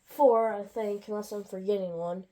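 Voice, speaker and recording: A woman with a stuffy nose talks through a toy review in a loose, wandering way, full of asides and restarts. Her voice sits in a mellow, darker register, and her pitch stays in the middle for a woman. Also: steady tone, moderate pace, rough recording. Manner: rambling, with many asides and restarts. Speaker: farm toy channel host.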